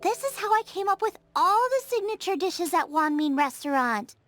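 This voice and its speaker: A carefree young girl cook, high and bouncy, self-assured. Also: lively intonation, moderate pace, fairly bright tone, faint music behind. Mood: breezy. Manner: breezy, confident, playful. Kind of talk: video game dub acting. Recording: game soundtrack under the voice.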